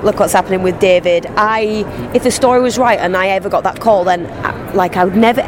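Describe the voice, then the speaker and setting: A woman's voice: mid-range voice, brisk. A woman in her thirties with an English regional accent, chatting enthusiastically as a guest in a casual convention interview, often restarting her sentences. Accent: English regional, not London. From England. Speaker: guest actress. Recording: clear traffic noise outdoors.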